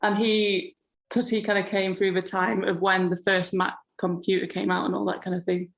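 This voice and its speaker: Relaxed woman guest. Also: mid-range voice, moderate pace. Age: 30s. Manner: relaxed, rambling, laughs between stories. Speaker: podcast guest, grower and florist. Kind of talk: casual interview chat.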